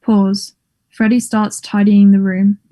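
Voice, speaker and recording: A woman's voice: medium pitch, level tone, slow pace, mellow. A woman reading stage directions as scripted narration, in a plain, neutral manner.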